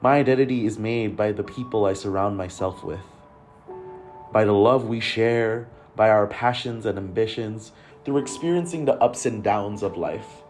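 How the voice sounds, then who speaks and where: A man's voice: lowish voice, moderate pace, darkish tone, soft background music. A young man from Malaysia narrating a documentary in an earnest, introspective voice. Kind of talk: reflective scripted narration.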